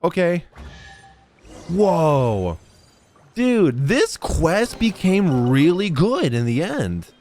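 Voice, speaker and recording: Dude streaming game reactions, super animated and slangy, music in the background. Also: male voice, fairly high pitch, extremely slow, long pauses. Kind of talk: casual live reaction commentary.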